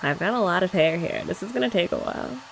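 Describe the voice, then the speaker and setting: A woman's voice: lowish voice, expressive, fast talker, gravelly, a little hiss or hum, rough recording. A young woman doing a chatty ASMR girlfriend roleplay, explaining each step and teasing her listener. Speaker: ASMR creator.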